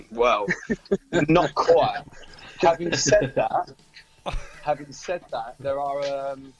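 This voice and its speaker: A man's voice: medium pitch, drawn-out words, pauses often, dull audio, a loud crowd. A British man chatting casually as a singer-songwriter in a radio interview, with a hesitant, rambling, informal manner.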